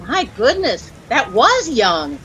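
Dazzled young American wife, high bright voice, breathless admiration, gentle scolding, vintage radio comedy. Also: female voice, big pitch swings, takes it slowly, full character voice. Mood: curious, teasing. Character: chirpy, easily dazzled young housewife, teasing her husband. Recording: noisy old-time radio recording.

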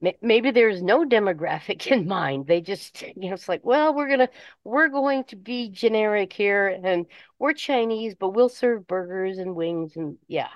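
A woman's voice: mid-range voice, expressive, measured pace, dry recording. A woman chatting casually in a conversational way, like a caller on a talk show. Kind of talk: casual chat. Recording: dull, slightly muffled phone audio.

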